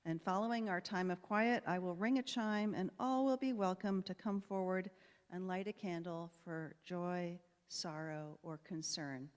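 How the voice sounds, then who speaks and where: Calm woman leading a prayer time. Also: lowish voice. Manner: calm, measured, gentle. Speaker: lay leader of prayer time. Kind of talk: calm liturgical introduction. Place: church sanctuary. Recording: long church reverb.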